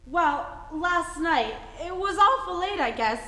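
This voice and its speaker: A woman's voice: very high voice, lively intonation, measured pace, bright, forceful tone, full character voice. A woman acting a gossipy young girl in a 1940s-style radio comedy, telling her story coyly and teasingly. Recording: echoey hall, live stage reenactment.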